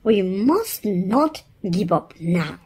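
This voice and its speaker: Woman voicing a bossy, husky mother elephant. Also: medium pitch, sing-song, takes it slowly, mellow, full character voice. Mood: stern, firm. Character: bossy, stern, health-minded mother elephant.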